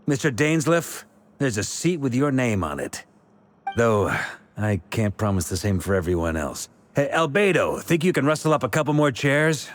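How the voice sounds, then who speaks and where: An actor playing a genial, confident grandmaster of knights in a video game, hearty and easygoing yet in command. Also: male voice, mid-range voice, very animated, normal speed, slight background noise, lightly acted. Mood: genial, welcoming. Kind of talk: video game character acting.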